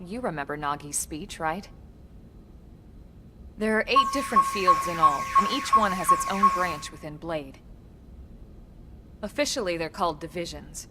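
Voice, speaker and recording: An actress playing a composed, no-nonsense female military officer in a video game, speaking in a crisp, measured, dry way. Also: on the low side, background noise. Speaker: voice actor. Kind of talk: scripted video game cutscene acting.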